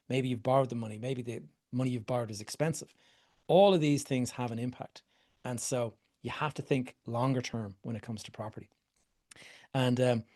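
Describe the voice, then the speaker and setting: A man's voice: mid-range voice, a little mellow. Conversational man coaching property investors. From Ireland. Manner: conversational, repeats words, asks questions and answers them. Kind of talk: workshop talk to a live group.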